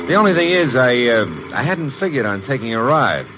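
An actor playing a breezy, wisecracking detective's sidekick, flippant and streetwise, in old-time radio drama style. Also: male voice, medium pitch, sing-song, fairly quick, close to an everyday voice. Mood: wry, flippant. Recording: slightly noisy old radio recording.

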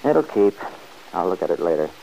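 A restless, stubborn middle-aged man who grumbles and brushes off questions. His voice is low and mellow, with a faint hiss behind it. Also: big pitch swings, fairly quick, exaggerated voice, rough recording. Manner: gruff, defensive, mumbling. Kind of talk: 1940s radio acting.

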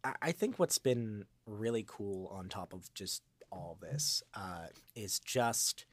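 A man's voice: lowish voice, slow, no echo. A man giving a casual interview as a voice actor, talking in a hesitant, rambling way and drifting into asides. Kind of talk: casual, unscripted interview chat.

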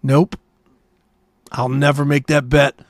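A man's voice: mid-range voice, flat delivery, takes it slowly, no room sound, clean studio sound. A middle-aged man co-hosting a talk show, bantering casually about sports in a blunt, argumentative, opinionated way.

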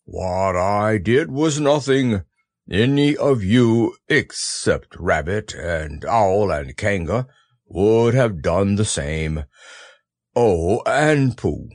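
A man reading a storybook aloud, voicing a gloomy, self-pitying old male donkey in a slow, mournful drawl. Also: on the low side, expressive, a little mellow, no room sound, heavily acted. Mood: pompous.

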